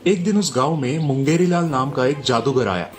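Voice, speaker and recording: A man's voice: mid-range voice, fairly quick, soft background music. A man narrating a scripted moral story as a storyteller.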